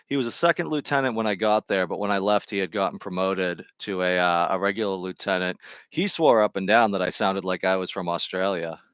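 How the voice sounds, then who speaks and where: Rambling low-voiced man with a New England accent. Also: fairly quick, fairly bright tone, clean tone, no room sound, highs cut off. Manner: chatty, digressive, self-deprecating. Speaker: gardening streamer. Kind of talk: rambling casual chat while gardening.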